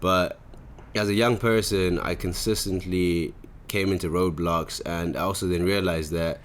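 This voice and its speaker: Deep-voiced young man. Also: fairly even intonation, unhurried, a little hiss or hum. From Zimbabwe. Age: 20s. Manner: rambling, slangy. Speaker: podcast host. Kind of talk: casual chat.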